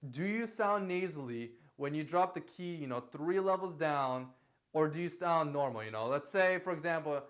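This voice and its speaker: A young man coaching singers with a fairly high, light speaking voice. He rambles casually, repeating himself and checking in with "okay?" as he explains. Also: expressive, moderate pace. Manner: loose, repetitive talk with many fillers and "okay?" checks; sings short lines to demonstrate. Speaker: singing teacher. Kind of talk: casual vocal lesson.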